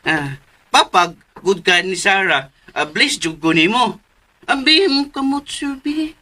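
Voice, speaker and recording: A man playing a childlike, simple-minded young man in a radio drama, eager and bashful, with halting, stammering, repetitive speech. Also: high-pitched, animated, pushed and bright, full character voice. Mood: eager, flustered. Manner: stammering, repeating himself, childlike. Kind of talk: Filipino radio drama acting.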